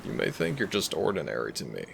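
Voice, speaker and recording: Deep, gravelly young dragon prince, acted by a man. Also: huge pitch swings, fast talker, a little hiss or hum, full character voice. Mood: adoring. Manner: tender, affectionate, soft-spoken reassurance. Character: gentle young dragon prince, devoted romantic boyfriend. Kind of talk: romantic ASMR audio roleplay, speaking to the listener. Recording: music behind the voice. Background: background music.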